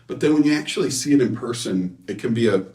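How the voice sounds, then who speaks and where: A man being interviewed as a designer, explaining technical matters casually and haltingly, often correcting himself. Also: mid-range voice, lively intonation, darkish tone. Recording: echoey room, distant microphone.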